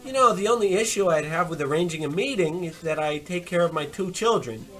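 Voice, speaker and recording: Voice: mid-range voice, lively intonation, brisk, a bit bright, natural voice, low-quality recording. An actor playing a swaggering, romantic tough-guy diner chef with hammy wise-guy bluster in an old-time radio comedy style. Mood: wistful.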